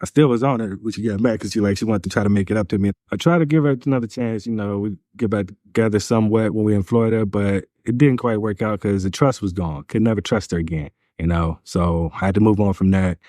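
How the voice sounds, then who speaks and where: Hesitant low-voiced man in his forties. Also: fast, hardly any pauses, no room sound, clean studio sound. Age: 40s. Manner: soft, hesitant, many fillers. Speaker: vlogger telling his own story. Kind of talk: confessional vlog.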